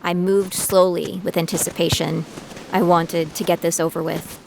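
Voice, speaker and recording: A woman's voice: fairly low pitch, little pitch movement, moderate pace, gravelly, slight background noise, poor audio. An actress playing a determined young female bounty hunter in training, narrating her own story in an audio drama. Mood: tense, reflective. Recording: loud music bed behind voice.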